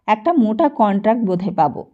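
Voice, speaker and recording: A woman's voice: mid-range voice, big pitch swings, brisk, phone-quality sound, close to an everyday voice. A woman reading the part of an ambitious, earnest young businessman and suitor in an audiobook. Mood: confiding.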